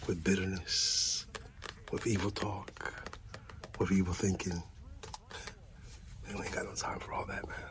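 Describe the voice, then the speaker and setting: A man speaking in a soft, half-whispered ASMR roleplay style as a gently encouraging friend, leaving long pauses. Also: deep voice, flat delivery, low-quality recording.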